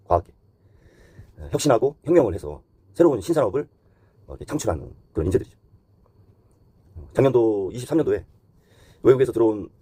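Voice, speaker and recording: Fast-talking husky man giving commentary. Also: fairly low pitch, warm tone, no echo. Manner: earnest, critical, poses rhetorical questions. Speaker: host and commentator. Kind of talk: current-affairs opinion monologue.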